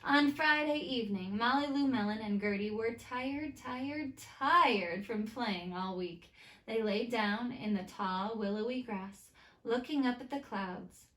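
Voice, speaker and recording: A woman with a fairly high voice reads aloud to children like a teacher. Her delivery is expressive, with deliberate emphasis on story words. The room gives her voice a noticeable echo. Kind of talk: expressive picture-book read-aloud with asides. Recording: roomy, echoey indoor sound.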